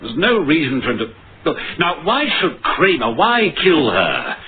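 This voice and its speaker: Dogged police inspector, very animated delivery. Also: male voice, fairly high pitch, measured pace. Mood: earnest, pressing. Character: dogged middle-aged male police inspector on a murder case. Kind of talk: 1950s radio drama acting with narration. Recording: muffled, noisy vintage radio broadcast.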